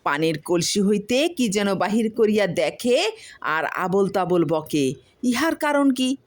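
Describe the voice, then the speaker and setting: A woman's voice: medium pitch, animated, unhurried, voice pushed up, close to an everyday voice. A woman voicing a jealous, hot-tempered village wife in a storybook reading, scolding and exclaiming. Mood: suspicious.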